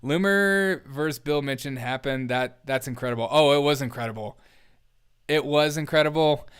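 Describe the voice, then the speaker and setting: A man's voice: on the high side, moderate pace, fairly bright tone, clean tone, dry recording. Casual male streamer, clean close sound. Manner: informal, rambling, chuckles often. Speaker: host of a livestream show. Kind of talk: casual livestream reaction chat.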